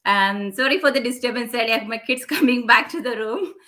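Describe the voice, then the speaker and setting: A woman's voice: a little high, a little fast, sharp tone. A woman hosting a live online interview, speaking casually and a little hesitantly, with many fillers.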